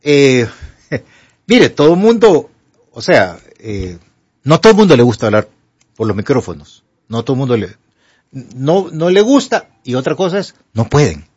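Animated older Honduran radio doctor. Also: male voice, mid-range voice, a little slow, pauses often, breathy edge, dry recording, highs cut off. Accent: Honduran Spanish. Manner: animated, emphatic, rises to pleading. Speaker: radio health show doctor. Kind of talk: radio call-in advice.

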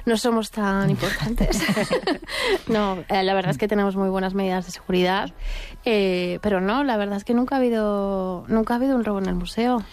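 A woman's voice: medium pitch, moderate pace, a little mellow. A woman who works at a museum and writes books, a guest answering in an easy, thoughtful way with some fillers. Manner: thoughtful, fillers, light laughs. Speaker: museum worker and author, guest. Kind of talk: casual radio interview.

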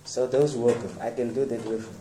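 A man's voice: mid-range voice, fairly even intonation, moderate pace, mellow. A man born in Namibia who works as a radio presenter, giving an informal, encouraging talk to young people and often restarting his sentences. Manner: encouraging, rambling with many restarts. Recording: roomy, distant, faint background noise.

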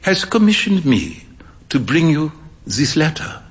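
An actor playing a courteous old gentleman messenger with a slight French accent, speaking formally. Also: fairly high pitch, sing-song, measured pace. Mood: polite. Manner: formal, polite. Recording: long stage reverb in a hall.

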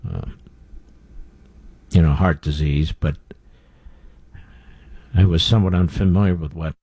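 A man's voice: sits low, steady tone, measured pace, a little mellow, slightly rough, no room sound. A man hosting a talk radio show, giving blunt, scornful commentary with frequent fillers.

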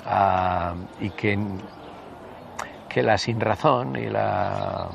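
A man in his sixties with a northern Spanish accent, reminiscing conversationally in an interview, haltingly and with repeated words. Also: on the low side, creaky, noisy background. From Basque Country, Spain. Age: 60s.

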